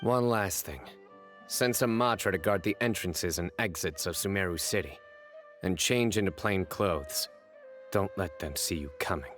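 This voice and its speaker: A stern male investigator from a video game, low and composed. He speaks with measured authority, with soft music behind him. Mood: authoritative.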